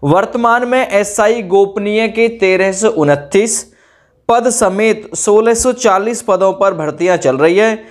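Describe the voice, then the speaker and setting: A man speaks. He explains news updates informally, like an online host. His delivery is conversational and explanatory, speaking straight to his viewers. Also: high-pitched. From India. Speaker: YouTube host giving recruitment exam updates.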